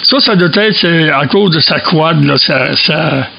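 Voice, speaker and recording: A man's voice: fairly high pitch, measured pace, gravelly, highs cut off. A man with a Quebec French accent chatting casually as an amateur radio operator, often hesitating and repeating words. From Quebec, Canada. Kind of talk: casual ham radio chat. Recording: noisy shortwave radio contact.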